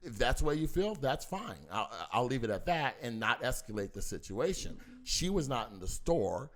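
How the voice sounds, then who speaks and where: An older man makes his case in a heated family conversation. He talks emphatically, circles back on his points, and talks over anyone who cuts in. His voice sits at an ordinary pitch for a man. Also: sing-song, moderate pace, a bit bright. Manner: emphatic, repeats himself, insists on finishing. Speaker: father in family mediation.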